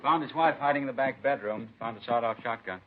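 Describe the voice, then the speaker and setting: An actor playing a brisk, terse police officer in a 1950s radio drama. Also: male voice, mid-range voice, close to an everyday voice. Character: brisk male police officer assisting detectives. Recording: tinny, noisy 1950s radio recording.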